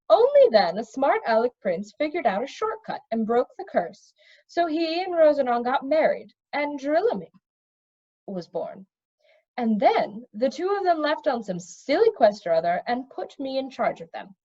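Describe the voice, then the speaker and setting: A woman's voice: high-pitched, sing-song, normal speed, warm tone, dull audio, pitched above the performer's own voice. Woman voicing a flustered, stammering middle-aged prince. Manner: stammering, earnest, sighing. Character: flustered, haunted middle-aged prince, a reluctant wicked uncle.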